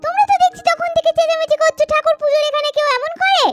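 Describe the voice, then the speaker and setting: A woman's voice: very high-pitched, fairly even intonation, rapid-fire. A woman voicing a little schoolgirl doll in a children's cartoon, chatty and bickering in a childish, colloquial way. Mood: scolding. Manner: childish, colloquial scolding and teasing.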